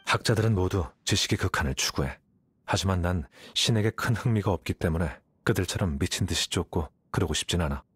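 Game role: rational young man of learning, low register, aloof, unhurried logic, matter-of-fact delivery. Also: fairly bright tone, breathy edge, heavily acted. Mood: cool, firm. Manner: measured, detached, matter-of-fact. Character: aloof rational young male scholar, coolly self-assured. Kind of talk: anime game voice acting.

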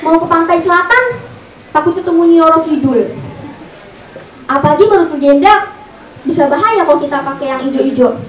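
High-voiced young woman, echoey stage. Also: a little fast, pauses often, distant mic, slight background noise. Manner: performed storytelling with repeated punchlines. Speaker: stand-up comedian. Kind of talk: stand-up comedy routine. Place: small indoor comedy venue with audience. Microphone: handheld mic through speakers, recorded from audience. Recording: echoey room, off-mic camera audio.

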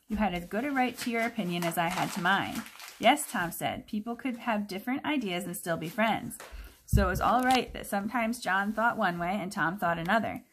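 A woman teacher reads a picture book aloud to children, expressive and explanatory. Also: mid-range voice, slight background noise, low-quality recording. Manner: expressive, explanatory, pauses to question listeners.

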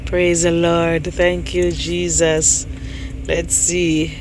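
A middle-aged woman filming from inside a car going through a car wash, exclaiming with excitement and praising God. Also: fairly low pitch, very slow. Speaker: vlogger filming her husband. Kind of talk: casual phone vlog. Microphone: phone held at arm's length. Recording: loud car wash noise.

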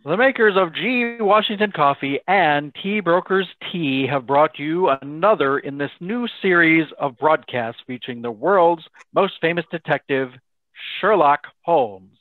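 Vintage male radio announcer, performed by a man: formal, smooth salesmanship, slightly high pitch, thin phone-like audio. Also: animated, measured pace, dry recording. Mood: polished, promotional. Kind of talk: old-time radio announcing.

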